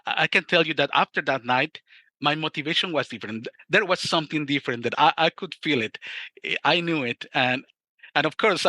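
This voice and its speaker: A man's voice: medium pitch, sharp tone, no echo. A middle-aged man from Mexico with a Mexican Spanish accent, telling his personal testimony in an interview in an earnest, reflective way and often restarting his sentences. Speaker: youth ministry director.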